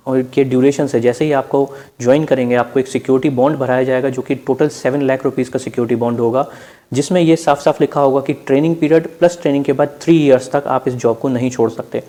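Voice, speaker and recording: A man's voice: mid-range voice, dark tone. A man with an Indian accent gives an informal instructional explainer as an exam preparation presenter, addressing listeners as friends and mixing in English terms. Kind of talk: informal explainer narration over on-screen slides.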